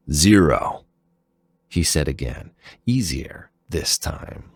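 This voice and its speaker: Man, extremely low voice, slow pace, measured story narration, deliberate phrasing. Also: no room sound, studio quality. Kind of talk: dramatic scripted fan fiction narration.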